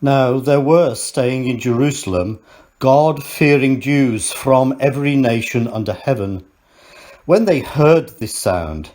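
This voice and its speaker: A man reading a Bible passage aloud in church in a measured, deliberate way. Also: medium pitch, a little mellow, dry recording. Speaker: Bible reader.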